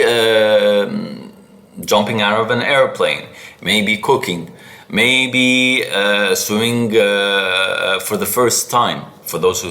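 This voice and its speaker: A man whose first language is Arabic, hosting a casual solo podcast, haltingly searching for words and repeating them for emphasis. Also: lowish voice, pushed and bright. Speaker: podcast host.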